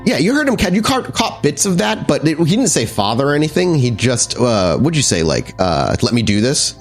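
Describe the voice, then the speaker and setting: A man's voice: fairly high pitch, big pitch swings, moderate pace. A man running a tabletop horror game, narrating descriptively and searching for words as he improvises. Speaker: game master. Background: background music.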